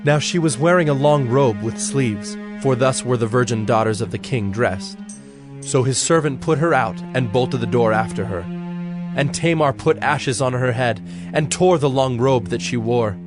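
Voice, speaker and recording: A man's voice: medium pitch, normal speed. A man giving a dramatic scripted narration of scripture as the narrator. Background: music.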